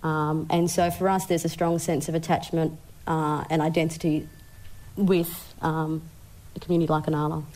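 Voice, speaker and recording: A woman's voice: low-pitched, little pitch movement, moderate pace, darkish tone, rough recording. A woman with an Australian accent speaking articulately as a guest on a panel. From Brisbane, Australia. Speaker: panelist, researcher.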